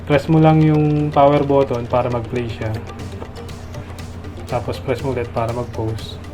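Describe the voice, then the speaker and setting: A man's voice: medium pitch, little pitch movement, a little slow, over music. A man with a Filipino accent demonstrates a product step by step in a casual, instructional way.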